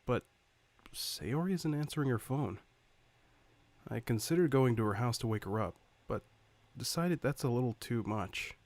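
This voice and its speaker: A man's voice: medium pitch, animated, moderate pace, darkish tone, no echo, natural voice. Earnest worried teenage boy, acted by a man, dry sound. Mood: matter-of-fact. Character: earnest, worried male high school student, visual novel protagonist. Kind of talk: first-person narration and character dialogue.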